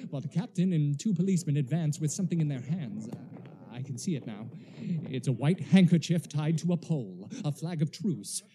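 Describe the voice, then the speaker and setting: An actor playing an awestruck, frightened field reporter in a 1930s-style radio drama, stammering and dramatic. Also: male voice, high-pitched, warm tone. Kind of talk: 1930s radio acting, eyewitness news report.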